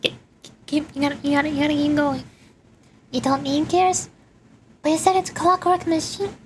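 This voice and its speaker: A woman's voice: high-pitched, fairly even intonation, moderate pace, a little hiss or hum. A woman livestreamer chatting casually as she plays a game, with a playful, rambling manner. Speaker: VTuber game streamer. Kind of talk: casual livestream chat while gaming. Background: game music.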